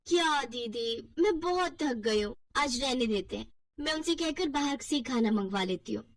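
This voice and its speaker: A woman's voice: high-pitched, expressive, normal speed, no echo, recorded from a distance. A woman voicing a lazy, pampered, cheeky young wife, whining and defiant, in a family cartoon. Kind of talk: Hindi family cartoon voice acting.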